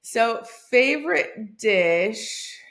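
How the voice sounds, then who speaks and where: A woman's voice: on the high side, expressive, a bit bright. A woman who grew up in Hawaii, a podcast guest talking in an upbeat, casual way about her coaching work. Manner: upbeat, fast-talking with fillers. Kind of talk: casual interview chat.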